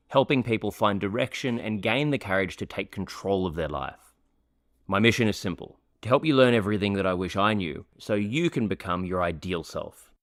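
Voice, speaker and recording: A man hosting a podcast, reading an earnest, measured introduction and then chatting with his guest. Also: on the low side, no room sound. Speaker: podcast host. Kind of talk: scripted podcast intro, then casual interview.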